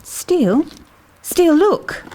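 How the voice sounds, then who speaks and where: A mellow-voiced, mysterious female investigator played by an actress in an audio drama. She is calm and perceptive, thoughtful and questioning, with very varied intonation. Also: very high voice, slow pace, slight background noise, exaggerated voice, low-quality recording. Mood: uneasy, intent. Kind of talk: scripted audio drama acting.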